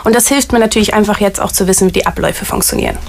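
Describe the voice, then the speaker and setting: A woman's voice: mid-range voice, racing, creaky. A young woman from Germany who works as an artist liaison, answering interview questions in an eager, chatty way and explaining things in long lists. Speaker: artist liaison at a variety theater.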